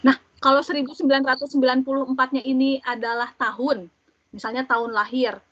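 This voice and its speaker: A young woman in her twenties teaching, explaining step by step and slowly repeating each example for learners. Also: high voice, little pitch movement, a bit bright. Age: 20s. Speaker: German language teacher. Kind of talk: slow, patient classroom explanation. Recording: dull, dry lo-fi audio.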